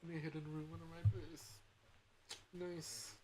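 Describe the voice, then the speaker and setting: A young man livestreaming in a casual chat, rambling and halting as he corrects himself mid-sentence. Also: a little high, noisy background. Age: young adult. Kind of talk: casual chat with stream viewers.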